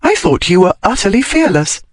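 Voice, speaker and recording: A man's voice: high voice, very animated, moderate pace, no echo, clean studio sound, voice pushed up. A man voicing a bold, curious scholarly woman in an audiobook, with precise, bookish and insistent phrasing. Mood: teasing, insistent. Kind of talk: audiobook character dialogue.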